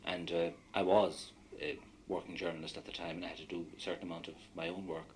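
A man's voice: low-pitched, level tone, quick pace, darkish tone, a little hiss or hum, rough recording. An Irish man whose first language is Irish, telling rambling stories from his own experience in a radio discussion. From Ireland. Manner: anecdotal, rambling recollection. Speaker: guest.

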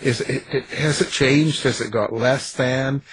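A man hosting a radio show, chatting casually and asking halting, rambling questions. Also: medium pitch, fairly even intonation, slight background noise. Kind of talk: casual interview chat.

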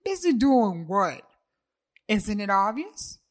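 A woman's voice: medium pitch, moderate pace, pushed and bright, natural voice. A woman reading the part of a brash, jealous ex-boyfriend who bellows and taunts. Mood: swaggering, taunting.

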